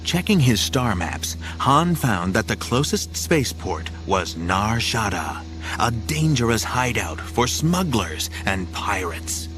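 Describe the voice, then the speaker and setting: A man's voice: fairly low pitch, sing-song, takes it slowly. A man narrating an audio drama, reading a scripted story in a dramatic style. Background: music.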